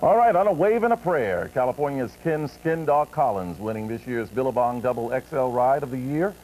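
Mellow American male news anchor. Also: medium pitch, expressive, a little fast, faint music behind. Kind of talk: scripted news reading. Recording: noisy old TV broadcast recording.

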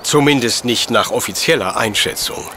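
A man plays a courteous professor detective in a radio drama, with a bright, incisive middle-pitched voice. He phrases everything in ornate, measured, logical sentences. Faint ambience sits behind him. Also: a little hiss or hum, lightly acted. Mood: politely probing. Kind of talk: German radio play acting.

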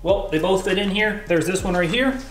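A man's voice: high voice, moderate pace, faint music behind. A Canadian man in his thirties talking in a jokey, slangy way as he hosts a casual workshop vlog from a garage. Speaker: vlog host and fabricator.

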